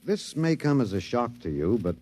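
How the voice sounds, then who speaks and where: An actor in a 1950s-style radio drama playing a wry, bookish prisoner with a dry, hesitant, sardonic manner. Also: male voice, medium pitch, big pitch swings, moderate pace, darkish tone. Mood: wry, resigned. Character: wry, bookish, resigned male human prisoner.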